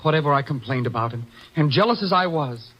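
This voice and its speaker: Man playing earnest, animated radio suspect. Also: fairly high pitch, quick pace, dull audio, a little hiss or hum, natural voice. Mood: anxious, defensive. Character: jealous, earnest male murder suspect, protesting innocence. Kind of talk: old-time radio drama acting.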